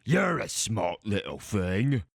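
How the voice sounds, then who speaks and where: An actor playing a fat, crude, leering male thug in an audio drama, with a rough, gravelly voice and a slow drawl. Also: on the low side, very animated, dry recording, clean studio sound, heavily acted.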